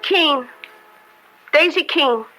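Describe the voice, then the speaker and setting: Very high-voiced streetwise American pusher. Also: female voice, over music. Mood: hesitant, sheepish. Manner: hesitant, streetwise, drawn-out. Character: sheepish small-time female drug pusher on the stand. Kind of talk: old-time radio drama acting.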